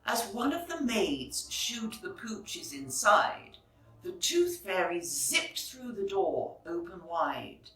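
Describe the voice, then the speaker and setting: A woman narrating a children's storybook expressively, phrasing clearly for young listeners, like a teacher reading aloud. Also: mid-range voice, very animated, slow, a bit bright. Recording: roomy echo, distant microphone.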